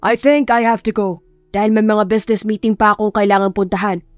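A woman's voice: mid-range voice, steady tone, a little fast, no echo, faint music behind, lightly acted. A woman voicing impatient, haughty business associates with a complaining, condescending manner in an audiobook. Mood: impatient, snobbish. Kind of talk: dramatised audiobook dialogue.

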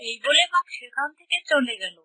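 A woman's voice: high voice, moderate pace, bright, forceful tone, no room sound. A woman narrating a scripted children's story as a storyteller.

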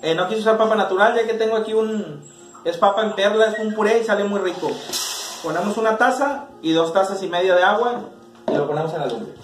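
A Mexican man in his thirties walks through a home recipe for his channel, easygoing and chatty as he cooks. His voice sits fairly high for a man. The small kitchen rings with echo, and a faint hum of noise sits under everything. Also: fairly quick. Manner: relaxed, talks straight to camera while cooking. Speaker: cooking channel host. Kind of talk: casual cooking tutorial. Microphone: camera mic, medium distance.

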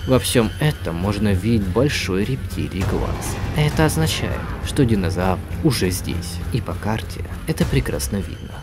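A man's voice: on the low side, animated, moderate pace, few pauses. A man reading a scripted horror video narration as a narrator. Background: background music.

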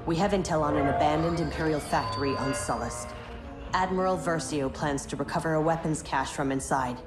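A woman's voice: low voice, fairly quick, close to an everyday voice. An actress playing a commanding female special forces leader turned rebel in a video game. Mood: grave, commanding. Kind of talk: video game dramatic acting. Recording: loud game music behind voice.

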